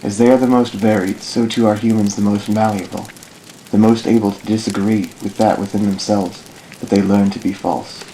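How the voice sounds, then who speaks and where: A man playing a thoughtful dark elf ranger in an amateur audio drama, speaking in a solemn, measured, formal way. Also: lowish voice, flat delivery, natural voice. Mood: solemn, reflective. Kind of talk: fan audio drama narration, literary monologue. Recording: noticeably noisy amateur recording.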